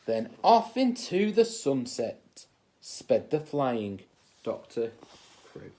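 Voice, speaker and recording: A man reading a children's story aloud as a teacher would, in expressive narration. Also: medium pitch, slow pace. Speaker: assistant head teacher reading a bedtime story.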